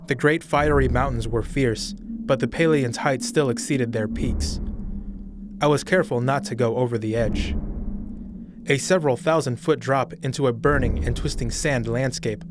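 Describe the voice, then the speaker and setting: Young man narrating a story slowly. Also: medium pitch, background noise. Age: young adult. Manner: slow, measured, brooding. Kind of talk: first-person audiobook narration.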